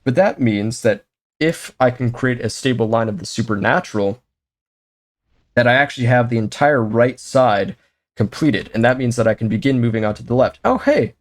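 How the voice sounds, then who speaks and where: A man's voice: mid-range voice, moderate pace. Chatty man narrating his gameplay. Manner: chatty, talks to himself and to the game, jokey asides; sudden excited exclamations. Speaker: let's play host. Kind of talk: casual gameplay commentary.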